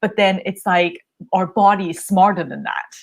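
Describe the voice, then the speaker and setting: A woman's voice: mid-range voice, normal speed, vocal fry. A young woman talking candidly about her fears as she gets coached, laughing often and filling her speech with "um" and "like.". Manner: chatty, laughs often, many fillers and restarts. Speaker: podcast host receiving coaching. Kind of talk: candid conversational chat.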